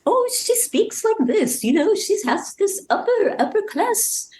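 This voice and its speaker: A strict, snobbish lady of society for a family audio drama. Her voice sits very high, with a posh upper-class accent. She sounds affected and fussy, forever lamenting. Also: big pitch swings, a little slow, a little mellow, slight background noise, exaggerated voice. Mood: haughty, upset.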